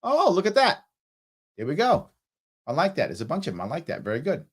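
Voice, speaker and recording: A man's voice: fairly high pitch, wildly swooping pitch, a little fast, slightly rough. A middle-aged man from the South Bronx, hosting a live ask-me-anything stream, reading viewer comments aloud and answering offhand with fillers. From New York City, South Bronx. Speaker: livestream host answering viewer questions.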